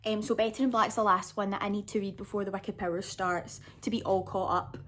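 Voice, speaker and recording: A woman's voice: medium pitch, fairly even intonation, fairly quick, a bit bright, a little hiss or hum. A woman chatting casually and enthusiastically to camera as a book vlogger, often gushing.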